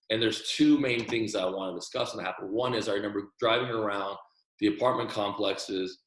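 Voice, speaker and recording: A man's voice: fairly low pitch, reverberant, rough recording. A man born in Taiwan, a podcast guest, telling his story earnestly in a casual interview and often repeating words as he starts sentences.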